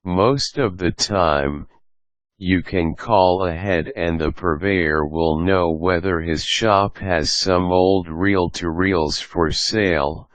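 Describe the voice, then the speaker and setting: A man's voice: deep voice, fairly bright tone, dry recording. A man reading a scripted how-to guide as an instructional narrator.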